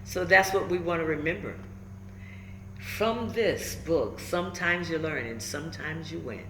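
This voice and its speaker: A woman reading a children's book aloud, emphatic and instructive, pausing between short phrases and adding encouraging asides. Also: low voice, a bit bright. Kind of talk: animated storybook read-aloud with encouraging asides. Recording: echoey room with faint background noise.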